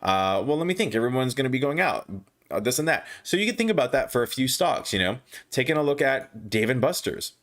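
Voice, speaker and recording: Man, young adult, livestream host, casual, halting, fillers, hoarse, mid pitch. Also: very animated, fairly bright tone. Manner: halting, many restarts and fillers. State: losing his voice, clearing throat. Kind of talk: casual livestream chat reading viewer comments.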